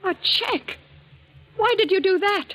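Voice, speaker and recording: An actress playing a bitter, ailing rich wife who scolds sharply in a 1940s radio drama. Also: female voice, extremely high pitch, a little slow. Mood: scornful anger. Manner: sharp, scornful, accusing. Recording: old 1940s radio broadcast.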